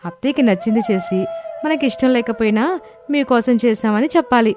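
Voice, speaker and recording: A woman playing a sensible, patient elder daughter-in-law in an animated story, reasoning and placating. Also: on the high side, brisk, natural voice. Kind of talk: animated moral story dialogue. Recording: voice over prominent music bed.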